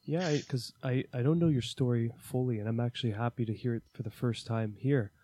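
A man co-hosting an interview, asking his guest questions in a soft-spoken, attentive way. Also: medium pitch, steady tone, a little fast, no echo. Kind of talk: casual interview chat.

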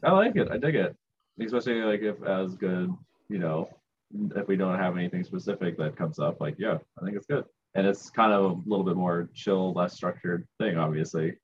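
A man co-hosting a podcast in relaxed casual chat, swearing freely and laughing along. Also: low-pitched, a little fast. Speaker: podcast co-host. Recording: dull lo-fi mic with faint hiss.

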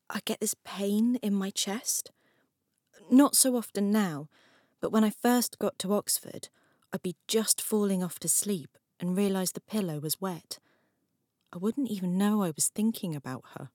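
An actress playing a stoical, lonely young student, speaking an understated, reflective confession in an audio drama. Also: female voice, mid-range voice, a little mellow, no echo, clean studio sound, natural voice. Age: young adult. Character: lonely, stoical young Cornish student, guiltily devoted daughter.

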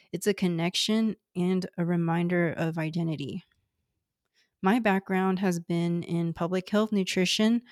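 Woman in her 30s from houston, first language cantonese, reading her podcast intro, lowish voice, dry close mic. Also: a little mellow, no echo. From Houston, Texas, US. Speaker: podcast host.

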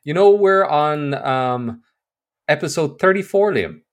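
A man's voice: fairly high pitch, expressive, a little slow. A man hosting a casual gaming podcast, upbeat and teasing with his co-host.

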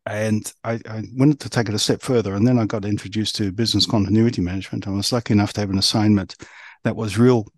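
A man with a mixed New Zealand accent giving conversational interview answers as a podcast guest, in halting phrases. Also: on the low side, steady tone, a little mellow, dry recording. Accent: New Zealand, mixed by years abroad. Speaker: podcast guest, resilience professional.

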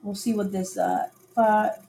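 Mellow-voiced chatty woman from Costa Rica. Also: medium pitch, little pitch movement, a little slow, gravelly. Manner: chatty storyteller who acts out quoted dialogue. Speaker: podcast guest. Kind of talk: casual chat on a livestream.

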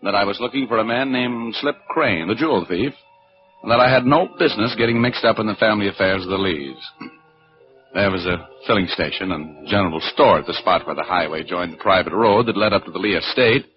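Man acting low-voiced American radio private eye. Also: a little fast, music in the background, natural voice. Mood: wry narration. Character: wry, persistent male private detective. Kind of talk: 1940s radio detective acting and first-person narration. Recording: muffled, noisy 1940s radio recording.

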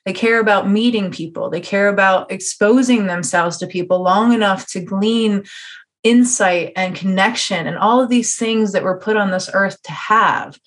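A woman's voice: mid-range voice, normal speed. A woman hosting a conversational podcast interview, talking at length in an earnest, encouraging way. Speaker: podcast host.

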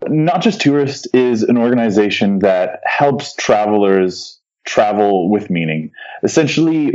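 A man's voice: mid-range voice, darkish tone, highs cut off. A man volunteering for a charity, explaining its work earnestly in an interview, with a few fillers.

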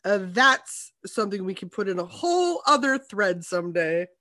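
A woman's voice: mid-range voice, big pitch swings, moderate pace, pushed and bright. A woman co-hosting a podcast, giving casual, opinionated film analysis with dry humour. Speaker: podcast co-host.

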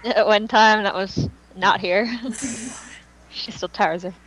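A woman chatting casually on a fan podcast in a gushing, excitable manner. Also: mid-range voice, unhurried, a crowd in the background. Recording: muffled, noisy amateur podcast recording.

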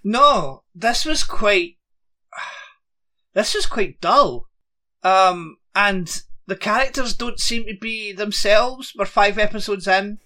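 A man's voice: high-pitched, slow, bright, forceful tone. A man, co-hosting a podcast in casual, rambling chat with a dry humour. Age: 40s. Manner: rambling, dry, laughs often. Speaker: podcast co-host.

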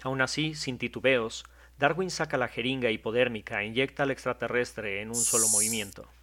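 A man narrating an audio drama in a measured, descriptive way. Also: medium pitch, fairly even intonation, fairly bright tone.

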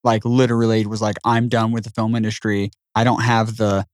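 Easygoing lower-voiced podcast host. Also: male voice, little pitch movement, a little fast, no echo, studio quality. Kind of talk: casual podcast conversation.

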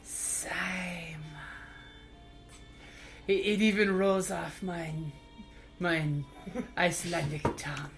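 A woman's voice: fairly low pitch, steady tone, takes it slowly, long pauses. A woman voicing a smitten, fawning Icelandic male leather worker in a tabletop game, gushing and suggestive. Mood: flirtatious. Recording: music behind the voice.

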